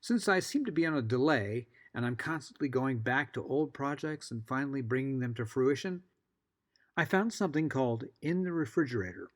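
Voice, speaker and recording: A middle-aged American man giving a scripted podcast introduction, articulate and measured, with wry asides. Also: medium pitch, lively intonation. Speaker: podcast host.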